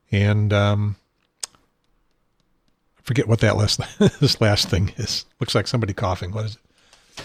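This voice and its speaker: A man hosting his own talk show from a home studio desk, rambling and self-deprecating, with a husky, unsteady voice and frequent throat clearing. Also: lowish voice, dry recording. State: has a probe in his nose. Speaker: podcast host.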